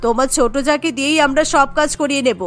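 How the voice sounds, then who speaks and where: A woman acts out a stern middle-aged mother-in-law from a family cartoon. She is bossy and scheming, with a high, forceful voice that orders everyone around, and soft music plays underneath. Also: quick pace. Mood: reassuring, scheming. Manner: commanding, scolding. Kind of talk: cartoon family drama dialogue.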